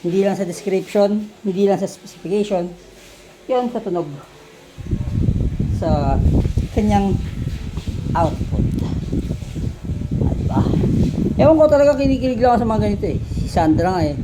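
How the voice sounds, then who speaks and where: A Filipino vlog host casually showing gear, mixing Tagalog and English in a halting way and often tossing small questions to viewers. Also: mid-range voice, expressive, heavy background noise. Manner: Taglish code-switching. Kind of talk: casual chat, product showcase.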